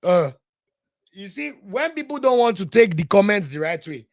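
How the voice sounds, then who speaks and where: A young man with a Nigerian English accent hosts a football show in a casual, heated way. He rants at length, answers viewer comments and drops into pidgin now and then. His voice sits fairly high for a man. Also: sing-song, moderate pace. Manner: passionate, ranting, switches into pidgin.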